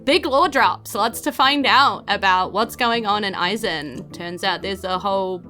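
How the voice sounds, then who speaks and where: A woman's voice: medium pitch, unhurried, pushed and bright, faint music behind. A woman with a New Zealand accent, the game master of a tabletop podcast, thanking supporters in a chatty, giggly way with many fillers.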